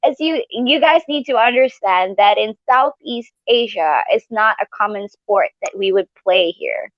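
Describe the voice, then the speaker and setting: A woman from Malaysia chats casually as a live stream co-host, giggly and talkative. Her voice sits at a medium pitch. The sound is dry but a little dull and lo-fi. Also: measured pace, dry recording. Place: living room at home.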